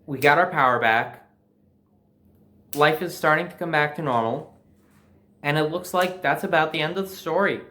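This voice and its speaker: A man's voice: on the high side, normal speed, pauses often, sharp tone. A young adult man with an American accent tells a personal story in a casual, conversational and emphatic way. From New Jersey, United States. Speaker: storyteller, host.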